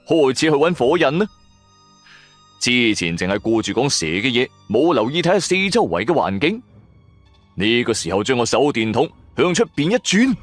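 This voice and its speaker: A man with a Cantonese accent narrates a supernatural story in the first person, in a dramatic and colloquial way. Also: mid-range voice. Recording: music bed under the voice. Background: background music.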